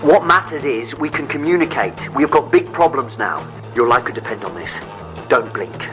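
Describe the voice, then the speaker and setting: A man's voice: mid-range voice, expressive, moderate pace, over music, natural voice, poor audio. Man acting an urgent, intense survivor giving warnings. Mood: urgent, grave. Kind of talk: dramatic sci-fi acting.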